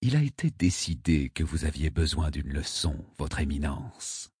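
A man's voice: very deep voice, very animated, moderate pace, darkish tone, breathy edge, no echo, studio quality, pitched below the performer's own voice, heavily acted. A man voicing a cruel, coldly superior inhuman counselor in an audiobook, with sarcastic, disdainful delivery. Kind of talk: audiobook character acting.

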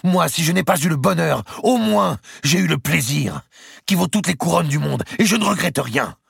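A man voicing a spiteful, proud condemned princess in an audiobook, with a hurried, breathless, passionate delivery. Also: deep voice, a bit bright, husky, no room sound, clean studio sound, voice pushed up, exaggerated voice. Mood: bitter, defiant. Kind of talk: dramatic audiobook character voice.

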